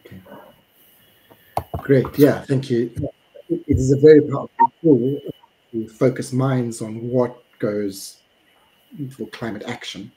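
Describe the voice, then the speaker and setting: South African man, middle-aged, video call host, measured, warm dark voice. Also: medium pitch, fairly even intonation, a little hiss or hum, rough recording. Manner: measured, hums along while others talk. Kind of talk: moderating a webinar panel. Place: home room, indoors. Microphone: video call with earphone mic.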